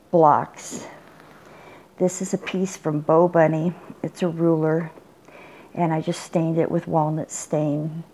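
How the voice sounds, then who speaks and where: An older woman showing off a handmade craft project in an unhurried, plain way, with small pauses and restarts. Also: low-pitched, mellow. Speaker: crafter showing her work. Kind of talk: casual show-and-tell. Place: indoors at a table, bedroom. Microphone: phone camera filming from above.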